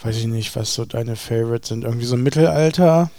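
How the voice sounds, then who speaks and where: A man's voice: medium pitch, a little fast, dark tone. A man co-hosting a podcast in casual, teasing conversation. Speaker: podcast co-host. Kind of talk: casual chat.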